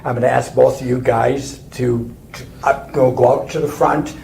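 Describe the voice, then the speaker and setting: Webinar host, mid-pitched male voice, roomy echo, faint hiss. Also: steady tone, moderate pace, gravelly, a little mellow. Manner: friendly, slightly rambling introductions. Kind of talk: hosting a webinar interview. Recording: echoey room with faint noise.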